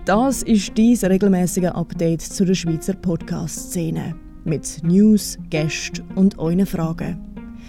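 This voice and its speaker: Slow-speaking woman over clear music. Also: fairly low pitch. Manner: slow, announcing delivery. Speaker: podcast co-host. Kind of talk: scripted show intro.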